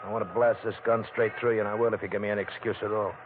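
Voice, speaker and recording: A man's voice: lowish voice, little pitch movement, racing, close to an everyday voice. A man playing a sullen, threatening hired gunman with clipped tough talk in a 1940s radio drama. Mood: menacing. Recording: tinny band-limited old radio recording.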